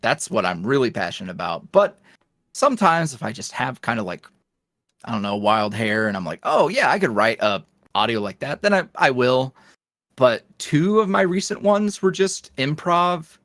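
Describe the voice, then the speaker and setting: A man talks casually as a guest on a podcast. His pitch swings around in a lively, animated way, and his slangy delivery is jokey and self-deprecating. The sound is close and dry, with no room echo. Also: moderate pace. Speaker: podcast guest, audio roleplay creator. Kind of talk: casual chat interview.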